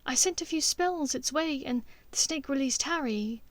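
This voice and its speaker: A woman's voice: sits high, normal speed, no room sound, voice pushed up, lightly acted. A woman voicing a frightened, guilt-ridden young witch in an audiobook, with a breathless, plaintive delivery. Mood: shaken, frightened. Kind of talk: audiobook character voice.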